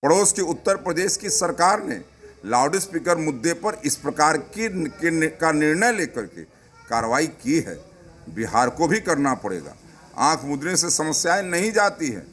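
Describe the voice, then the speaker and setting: Male politician, moderately high voice, formal, firm, political statement. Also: fairly bright tone. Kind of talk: political soundbite.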